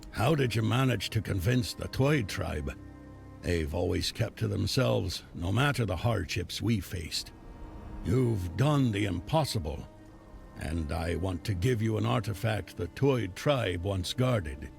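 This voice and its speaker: Gruff fantasy game chieftain type, deep and really croaky, grave delivery, music behind. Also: male voice, animated, unhurried, full character voice. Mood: solemn, grateful. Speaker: video game character. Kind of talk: dramatic fantasy game dialogue. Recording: game dialogue over clear music.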